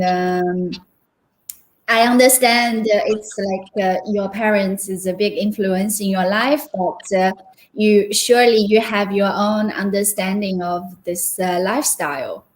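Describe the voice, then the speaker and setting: A woman's voice: medium pitch, poor audio. A woman hosting a live interview over a video call, hesitant and full of fillers, with encouraging murmurs. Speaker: host. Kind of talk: casual interview. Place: remote live chat.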